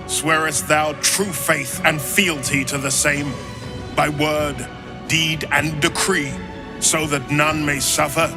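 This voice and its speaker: A solemn ceremonial official from a fantasy game. His fairly high voice proclaims formally at a slow pace. Music plays underneath. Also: fairly even intonation. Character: solemn male official administering a ceremonial oath. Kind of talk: archaic ceremonial game dialogue. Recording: music behind the voice.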